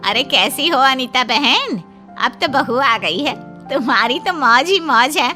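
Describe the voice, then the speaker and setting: A woman playing a chatty, rueful middle-aged auntie in a Hindi cartoon story, with gossipy, drawn-out exclamations. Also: high-pitched, animated, pushed and bright, soft background music, heavily acted. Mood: friendly, flattering.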